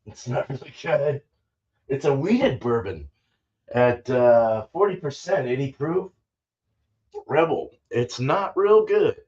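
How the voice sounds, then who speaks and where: A man's voice: mid-range voice, expressive, slow pace, a little mellow, muffled recording. An older man chatting casually and laid-back on a livestream, rambling and swearing freely, saying dude and man often. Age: older, fifties or sixties. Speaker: livestream host, homebrewer. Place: small indoor home brewing room.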